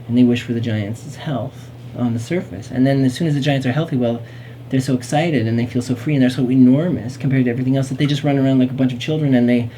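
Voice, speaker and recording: A woman's voice: very deep voice, fast, warm tone, slight background noise, poor audio. A woman hosting a talk show in casual, playful chat, rambling along in a whimsical way.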